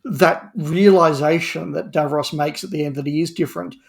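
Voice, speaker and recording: A man in a casual podcast discussion, talking as a guest panellist and telling things in an animated way. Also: fairly high pitch, normal speed, gravelly.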